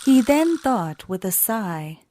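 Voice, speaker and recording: A woman narrating a scripted children's bedtime story in an expressive, deliberate way. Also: fairly high pitch, slight background noise.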